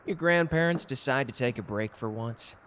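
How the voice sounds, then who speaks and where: A man's voice: medium pitch, expressive, normal speed, a bit bright, slight background noise, natural voice. A man playing a cocky, gallant and protective customer who flirts teasingly in a romantic roleplay audio drama. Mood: teasing, friendly. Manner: suave, teasing, intimate one-sided conversation.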